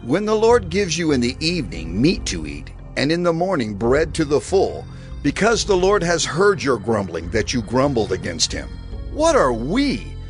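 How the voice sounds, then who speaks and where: An earnest prophet and leader declaims with firm emphasis. His pitch moves expressively as he commands his people in a scripture drama, over quiet music. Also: huge pitch swings, moderate pace. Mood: indignant. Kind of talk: dramatized scripture audio acting.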